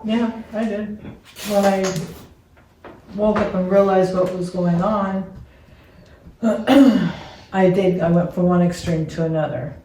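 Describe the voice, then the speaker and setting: A woman's voice: medium pitch, slow pace, pauses often, mellow. A woman speaking plainly and repeating herself in a casual family podcast chat. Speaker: podcast co-host. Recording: echoey room, far from the mic, faint noise.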